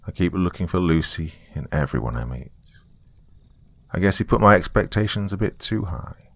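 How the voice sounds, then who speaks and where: A man's voice: sits low, animated, moderate pace, vocal fry, fairly bright tone, no echo. A man who narrates horror stories and hosts, speaking as a storyteller. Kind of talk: dramatic first-person story narration and casual sign-off.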